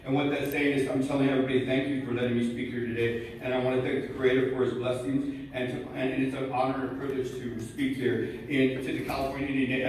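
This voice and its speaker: A man giving a nervous prepared speech as a student at a college gala, hesitant with many fillers and reading from notes. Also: medium pitch. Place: large event hall. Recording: echoey hall from far off, faint noise.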